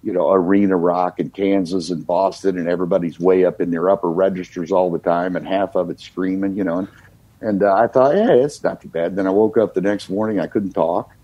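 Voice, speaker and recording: An older man from the United States, a singer-songwriter guest, telling his story in a relaxed, reflective way with many "you know" fillers. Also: deep voice, brisk, breathy edge, no room sound, dull audio.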